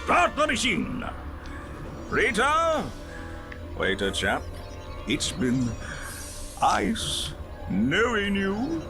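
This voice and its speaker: Slow, swooping pompous toad villain. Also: male voice, sits high, wildly swooping pitch, over music, full character voice. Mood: smug menace. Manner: grandiose, pun-loving, deliberate. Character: pompous villainous toad crime boss, gloating and scornful. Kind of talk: theatrical video game villain acting.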